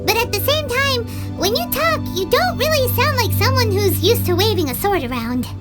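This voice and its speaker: A woman voicing a small, chatty female fairy companion with a high, squeaky voice in a video game. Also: very animated, moderate pace. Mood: teasing. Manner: bubbly and chatty. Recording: game audio with loud music behind.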